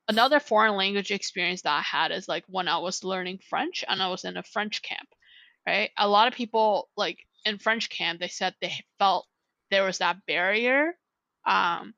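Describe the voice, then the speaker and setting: A young woman talking as a podcast guest, rambling from point to point and leaning on "like" constantly. Her voice has a gravelly edge and a bright, forceful tone, recorded close and dry. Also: medium pitch, dry recording, muffled recording. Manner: rambling, heavy use of "like". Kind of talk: casual chat.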